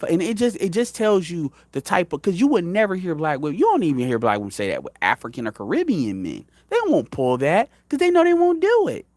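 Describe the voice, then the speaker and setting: A man's voice: on the high side, dry recording. Blunt profane man in his thirties. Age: 30s. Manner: blunt, profane, rambling with restarts. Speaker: commentary show host. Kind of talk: casual opinion commentary.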